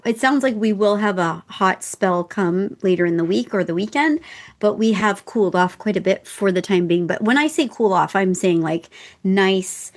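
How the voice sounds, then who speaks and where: Mid-pitched woman, explanatory podcast co-host. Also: lively intonation, moderate pace. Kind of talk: casual podcast chat.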